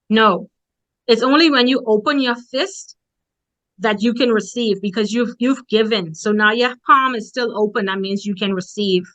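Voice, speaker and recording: A woman's voice: medium pitch, a bit bright, low-quality recording. Woman with a strong Bahamian accent. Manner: direct, emphatic, conversational. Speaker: conference speaker. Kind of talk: motivational presentation.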